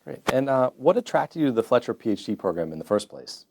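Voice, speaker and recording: Guy interviewing ppl at a conference, talks fast, kinda gravelly, brisk + friendly. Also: medium pitch, very animated, a little mellow, rough recording. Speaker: interviewer, PhD candidate. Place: conference venue.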